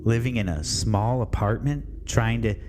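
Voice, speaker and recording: A man's voice: fairly low pitch, a little slow, music in the background, voice pushed up, close to an everyday voice. A man playing a mocking, chatty inner-self narrator in an audio horror story, confiding and sardonic. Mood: wry, mocking.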